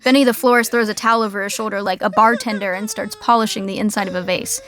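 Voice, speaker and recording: A woman's voice: mid-range voice, fairly even intonation, quick pace, fairly bright tone, faint music behind, close to an everyday voice. A woman performing wry, conversational scripted narration for an audio sitcom.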